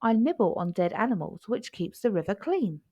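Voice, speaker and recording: A woman's voice: medium pitch, very animated, moderate pace, mellow. Woman as a brave, matter-of-fact little crab. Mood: bold, matter-of-fact. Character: brave little female fiddler crab, nipping and plain-spoken. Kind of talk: children's storybook character voice.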